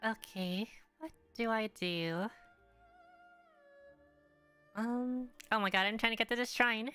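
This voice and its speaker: Female game streamer, casual and hesitant, bright higher voice, faint music behind. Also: normal speed, clear, resonant tone. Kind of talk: casual live stream chat.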